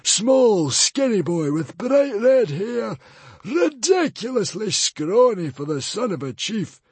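A man's voice: high voice, big pitch swings, takes it slowly, slightly rough, no room sound, pitched above the performer's own voice, heavily acted. A man voicing a hissing, whiny male librarian villain in an audiobook reading. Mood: menacing, screeching.

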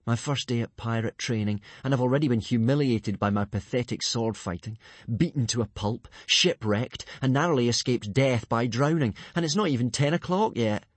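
A man's voice: mid-range voice, normal speed, dry recording, lightly acted. Man as an earnest, hapless young Viking boy. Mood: bleak. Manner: earnest, self-deprecating. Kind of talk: children's audiobook character acting.

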